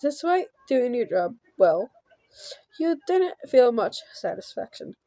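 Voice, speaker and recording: A giggly woman reading a visual novel aloud with casual commentary, halting and stumbling over words. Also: a little high, big pitch swings, dark tone, no room sound. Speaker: gaming channel host.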